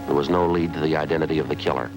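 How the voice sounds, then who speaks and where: A hard-boiled police sergeant with a very deep voice who narrates in short, clipped, factual lines. He talks at a quick clip. It sounds like a vintage radio drama with music running underneath. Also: steady tone, rough recording. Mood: flat. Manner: clipped, matter-of-fact. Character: terse male police detective sergeant, narrator. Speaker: detective narrator. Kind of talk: 1950s radio crime drama.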